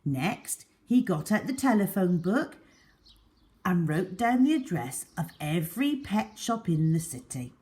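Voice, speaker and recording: A woman storyteller reading a children's story aloud in an expressive, deliberate and emphatic way. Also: lowish voice.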